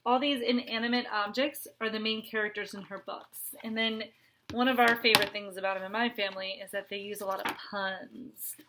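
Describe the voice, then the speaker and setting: A woman's voice: medium pitch, fairly even intonation, fairly quick, pushed and bright, slight background noise, poor audio. A woman reading a picture book aloud to children, adding chatty, explanatory asides as a teacher would.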